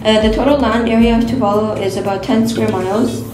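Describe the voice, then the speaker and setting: A woman's voice: fairly high pitch, fairly even intonation, fairly quick. A woman student reading a school report steadily, with small stumbles. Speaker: student presenter. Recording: roomy echo with loud music.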